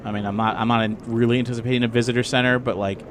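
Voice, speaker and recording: A man's voice: medium pitch, level tone, rapid-fire, a little hiss or hum. A man playing a tabletop roleplaying game, chatting casually and tossing in acted-out asides. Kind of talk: casual improvised game chat. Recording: music clearly audible behind voice. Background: background music.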